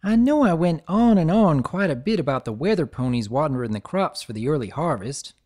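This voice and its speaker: Man putting on a Southern-drawl farm pony mare, folksy, earnest, higher pitch, lively lilt. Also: a little fast. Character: honest hardworking country farm pony mare.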